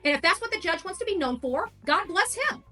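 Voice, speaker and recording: High, bright, defiant woman. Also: lively intonation, fairly quick, faint music behind. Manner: defiant, emphatic, combative. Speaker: livestreamer covering a case. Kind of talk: informal livestream monologue.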